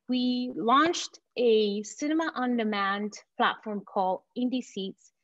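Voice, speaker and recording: Confident woman pitching over dull video-call audio. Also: no room sound. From Venezuela. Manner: confident, enthusiastic, promotional. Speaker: company founder pitching to investors. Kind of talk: startup pitch presentation.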